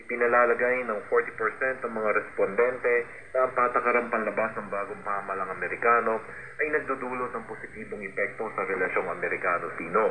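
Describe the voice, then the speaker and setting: A man's voice: on the low side, fairly even intonation, quick pace. A man with a Filipino accent reading scripted news formally as a radio newsreader. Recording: noisy shortwave radio broadcast.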